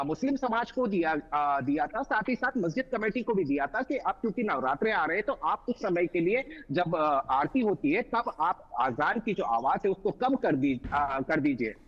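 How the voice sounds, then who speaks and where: A man reporting live as a news correspondent, speaking in formal, wordy and halting phrases. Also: sits high. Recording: dull lo-fi remote link.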